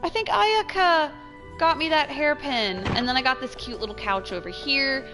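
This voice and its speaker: Bright-voiced woman chatting over a game. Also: high voice, moderate pace, soft background music. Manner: chatty, expressive, trailing into asides. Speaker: game streamer. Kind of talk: casual chatty gameplay commentary.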